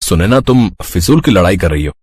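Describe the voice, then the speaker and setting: A man playing a conciliatory family man, a caring brother and husband, in an animated Hindi family story, with a husky voice. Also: sits low, fairly quick, no room sound, clean studio sound. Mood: reproachful.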